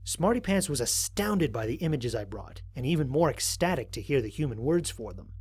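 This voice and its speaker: Man as a measured, thoughtful space explorer. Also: mid-range voice, sing-song, a little mellow, breathy edge. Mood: reflective, wary. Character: thoughtful, wary male space explorer recording mission logs. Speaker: narrator of a fan audio drama. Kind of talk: scripted first-person mission log narration.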